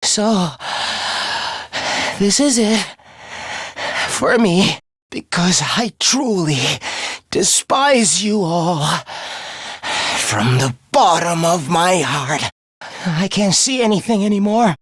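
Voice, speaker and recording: A man's voice: big pitch swings, slow, fairly bright tone, no echo, studio quality, full character voice. A man voicing a sadistic young male video game villain, mock-polite and theatrical in his contempt. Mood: weak, bitter. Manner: mock-polite, theatrical, swinging into shrill ranting. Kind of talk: anime-style video game voice acting.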